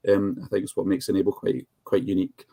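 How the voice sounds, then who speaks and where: A man's voice: low-pitched, completely flat delivery, fast talker, vocal fry, mellow, no echo. A man speaking informally as a charity advocate on a panel.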